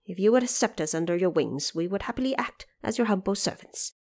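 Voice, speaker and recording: A woman voicing fawning male horned monster kings, eager and flattering, in an audio drama. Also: medium pitch, fairly quick. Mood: humble flattery. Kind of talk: audio drama character acting.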